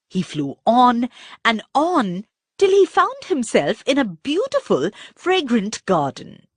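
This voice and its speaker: A woman reads a children's story in a high-pitched voice that swoops widely. She takes her time, telling it expressively and deliberately. The recording is dry and close. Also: slightly rough, no room sound. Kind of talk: scripted narration of a children's story.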